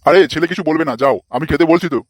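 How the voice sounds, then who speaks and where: A man's voice: a little high, rapid-fire, no room sound, studio quality, lightly acted. A man voicing a crude, bossy, lecherous old father-in-law in a comic cartoon, foul-mouthed and blustering.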